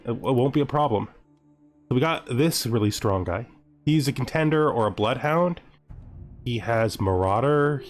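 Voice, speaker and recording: A man giving casual, jokey let's-play commentary on a strategy game, thinking aloud and often putting questions to himself. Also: mid-range voice. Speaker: gaming video host. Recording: background music under the voice. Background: music.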